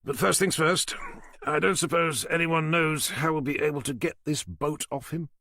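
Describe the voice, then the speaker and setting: A man voicing a sarcastic male police doctor who talks in a slow, dry drawl. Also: a little high, steady tone, bright, forceful tone, dry recording, pitched above the performer's own voice. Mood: sardonic.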